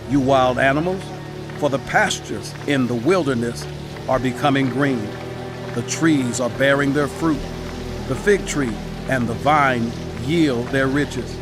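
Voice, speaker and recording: An actor playing a grave, exhorting prophet who narrates dramatized scripture in a solemn, measured, declamatory way. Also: male voice, mid-range voice. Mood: exultant.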